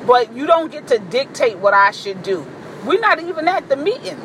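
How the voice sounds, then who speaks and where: A woman with a deep voice giving a blunt, profane, boisterous vlog monologue, recorded in a car. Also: big pitch swings, normal speed, fairly bright tone, slight background noise, poor audio. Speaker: vlogger. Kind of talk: candid monologue to camera.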